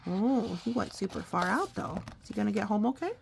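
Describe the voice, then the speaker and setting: A woman's voice: mid-range voice, lively intonation, fairly quick, gravelly, a little hiss or hum. A woman, a mother, reading a picture book aloud and chatting with her small child about it. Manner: asks and echoes a small child's questions. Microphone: phone recording.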